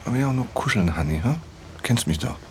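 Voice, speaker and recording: An actor playing a teasing, wheedling husband in a naturalistic radio drama, speaking in an intimate, coaxing manner. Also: male voice, mid-range voice, expressive, quick pace, a little hiss or hum, lightly acted.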